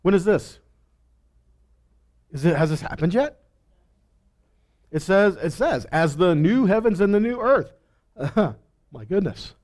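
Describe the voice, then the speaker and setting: A man's voice: high-pitched, animated, measured pace, long pauses, a little mellow. A man teaching scripture in a sermon, emphatically asking rhetorical questions, repeating phrases and answering himself.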